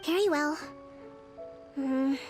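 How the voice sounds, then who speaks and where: Game casting: young female leader, high voice, gentle, measured, politely authoritative, music behind. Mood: firm. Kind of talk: video game character acting.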